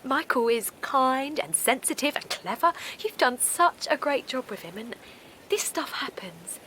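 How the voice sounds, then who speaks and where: A woman's voice: high voice, big pitch swings, measured pace, sharp tone, slight background noise, full character voice. An actress playing a supportive, anxious woman in a naturalistic audio drama, speaking earnestly and plainly. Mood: warm reassurance. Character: Linda, a supportive, anxious woman and loyal friend. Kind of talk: naturalistic audio drama acting, with dialogue and narration.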